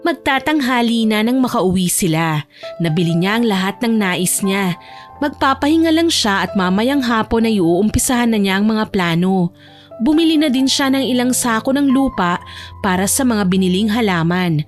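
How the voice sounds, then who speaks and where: A woman's voice: mid-range voice, animated, normal speed, faint music behind. A woman narrating a scripted romance story as an audiobook-style narrator.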